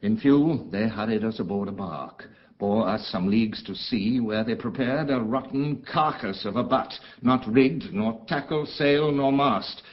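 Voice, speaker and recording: A man's voice: mid-range voice, a little slow, lo-fi sound, natural voice. An actor playing an embittered, commanding exiled duke and father in a theatrical, declamatory Shakespearean style. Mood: sorrowful. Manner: theatrical, grave, declamatory, with dramatic swells and hushes. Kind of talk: classical Shakespearean stage acting.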